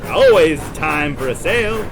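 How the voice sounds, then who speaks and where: A man's voice: a little high, expressive, moderate pace, music in the background, lightly acted, poor audio. A man playing a slick, opportunistic Old West travelling salesman in an audio drama, with florid, wheedling patter. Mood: eager pitch.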